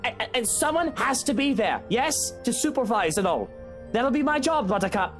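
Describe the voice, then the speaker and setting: A woman's voice: on the high side, lively intonation, normal speed, faint music behind, voice pushed up, close to an everyday voice. A woman voicing an anxious, commanding young husband in a visual novel, pleading urgently and with exasperation.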